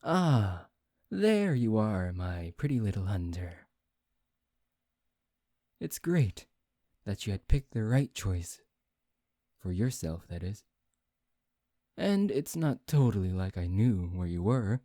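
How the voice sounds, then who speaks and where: Casting: performer to play a playfully menacing noble vampire for ASMR roleplay; very dark, soft-edged tone, coaxing mock politeness, close dry sound. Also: deep voice, big pitch swings, no echo, heavily acted. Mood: smug, teasing. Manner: theatrical, drawn-out, coaxing delivery with mock politeness. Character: smug, teasing high-ranking vampire, playfully menacing and possessive.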